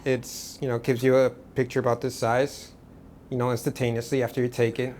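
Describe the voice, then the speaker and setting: A man from Portugal, an artist guest, talking about his work in a relaxed, rambling way with many restarts. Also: mid-range voice, a little fast, slight background noise. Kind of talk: casual interview.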